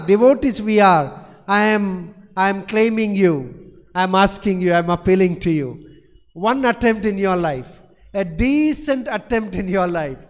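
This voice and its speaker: A man in his forties, a Franciscan priest, preaching a sermon into a stand mic in a large church, emphatic and repeating his phrases. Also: very high voice. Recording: long church reverb.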